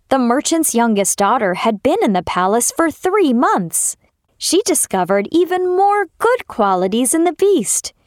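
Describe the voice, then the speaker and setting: Female storyteller for children's tales: fairly high, animated pitch, recorded close and dry. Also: dry recording. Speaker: narrator. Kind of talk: scripted children's story narration.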